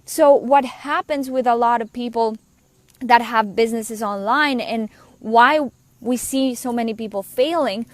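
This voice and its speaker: A woman from Colombia with a Colombian accent speaks in a fairly high voice, earnest and chatty as she coaches. The sound is dry and close, with no room around it. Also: dry recording. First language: Spanish. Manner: earnest, conversational, quick asides. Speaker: business coach. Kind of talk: personal story monologue.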